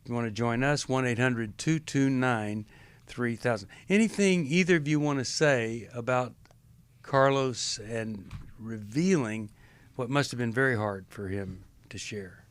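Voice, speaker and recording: A man's voice: mid-range voice, unhurried, smooth, no echo. A man in his sixties hosting a call-in radio show, friendly and joking while steering the call. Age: 60s.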